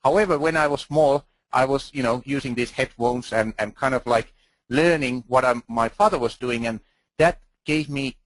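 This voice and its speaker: Finnish man, conference speaker, earnest and halting, dry close sound, mid pitch. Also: no room sound, rough recording. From Finland. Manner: earnest, halting, with restarts and fillers. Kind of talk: presentation talk.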